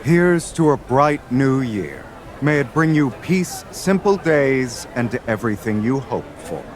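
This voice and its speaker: An actor playing a confident, teasing male romance lead in a fantasy game, with an unhurried, composed, wry delivery. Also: mid-range voice, big pitch swings. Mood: tender. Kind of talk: scripted video game dialogue.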